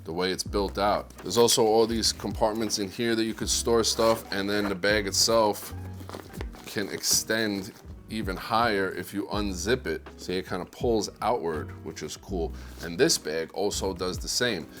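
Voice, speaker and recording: Male vlogger, low bassy voice, laid-back and upbeat, close wireless mic with faint music behind. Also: moderate pace. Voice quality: deep bassy voice. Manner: relaxed, enthusiastic product talk. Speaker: videographer vlogger. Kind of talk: casual gear rundown. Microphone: wireless clip-on mic.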